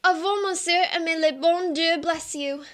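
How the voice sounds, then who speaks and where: Radio drama role: humble, devout guide, played by a woman, very high-pitched. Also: steady tone, moderate pace, a bit bright. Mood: grateful, devout. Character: Henri, wounded French mountain guide, reverent and grateful man. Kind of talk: radio play acting.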